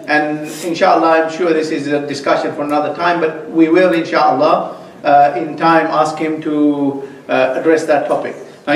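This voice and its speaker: A man introduces a guest politely, pausing with plenty of fillers, his voice a touch high. He sounds set back from the mic in an echoey room with faint hiss. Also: distant mic. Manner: polite, halting, many fillers. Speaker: host introducing the speaker. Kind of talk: formal introduction. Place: mosque meeting room.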